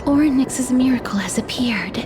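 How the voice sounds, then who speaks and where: A woman voicing a gentle, composed young priestess in a video game, speaking in a measured, formal, soft manner. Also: high voice, music in the background, close to an everyday voice. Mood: solemn. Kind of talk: video game character acting.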